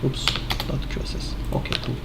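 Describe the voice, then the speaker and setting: A man casually thinking aloud in an office while fixing software, halting with many filler sounds. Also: background noise. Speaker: Linux developer screencasting.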